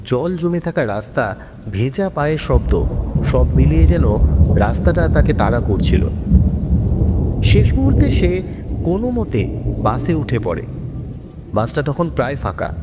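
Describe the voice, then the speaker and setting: Animated male horror narrator with long reverb. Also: a little high, unhurried, pauses often, heavy background noise. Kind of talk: dramatic scripted horror storytelling. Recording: long reverb, music bed.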